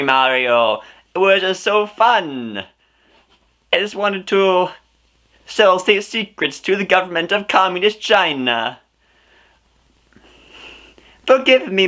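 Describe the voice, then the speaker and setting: Casting: man playing guilt-ridden cartoon sidekick brother, melodramatic, whiny, high and tense. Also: bright, forceful tone. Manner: melodramatic, pleading.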